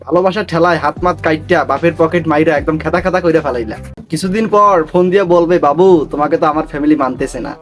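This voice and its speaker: A man's voice: a little high, fast talker, music in the background. A man with a Bangladeshi accent delivering teasing, scripted comic commentary on memes as a channel host. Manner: mocking, teasing. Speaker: host of a meme review channel.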